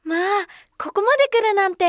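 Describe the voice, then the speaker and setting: A woman's voice: very high-pitched, lively intonation, brisk, slight background noise. A woman voicing a sweet, tender young princess in a children's storybook cartoon. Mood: admiring. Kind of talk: children's musical storybook acting.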